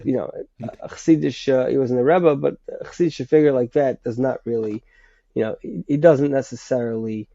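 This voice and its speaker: A man with a sinus infection explaining history in a conversational way, pausing often and restarting his sentences. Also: medium pitch, gravelly, warm tone, no room sound. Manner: hesitant, many restarts and fillers. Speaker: guest writer. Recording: dull, lo-fi sound.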